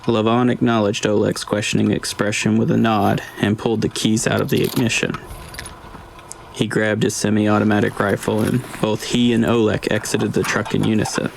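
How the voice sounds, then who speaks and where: A man reading scripted dramatic audiobook narration in a measured, deliberate way. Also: on the low side, flat delivery, creaky, slight background noise. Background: ambient scene sound effects.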